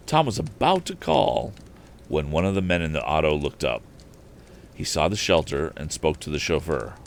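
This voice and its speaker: Deep-voiced man reading an adventure story. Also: vocal fry, slight background noise. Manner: expressive, with occasional stumbles and restarts. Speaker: storyteller on a live stream. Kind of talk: animated audiobook-style reading of an old adventure novel.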